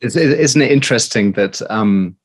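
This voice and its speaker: A man's voice: mid-range voice, lively intonation, moderate pace. A male interviewer asking loose, rambling questions with many restarts. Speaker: interviewer, host. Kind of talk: casual interview chat.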